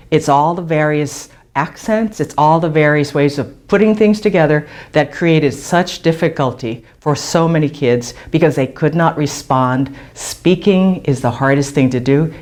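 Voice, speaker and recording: A woman, a teacher, reflecting on her own language learning in a measured way. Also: sits low, a little mellow. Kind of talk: reflective personal testimony.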